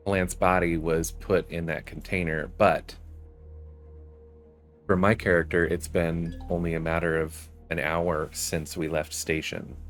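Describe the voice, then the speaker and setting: A man's voice: low-pitched, fairly bright tone. A man giving casual, explanatory commentary as the host of a gaming video. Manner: explanatory, reads quest text aloud.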